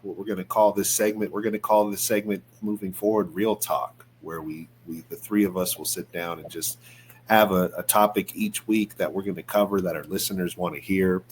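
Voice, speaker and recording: A man's voice: low-pitched, steady tone, fairly quick, a little hiss or hum, poor audio. A man in his forties hosting a podcast in a conversational way. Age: 40s. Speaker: podcast host.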